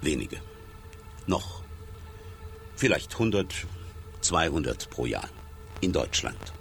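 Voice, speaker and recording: A man's voice: rumbling low, big pitch swings, slow, gravelly, a bit bright, faint music behind, full character voice. An actor playing a sober male doctor in a radio play, explaining things in terse, blunt phrases. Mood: dry, blunt.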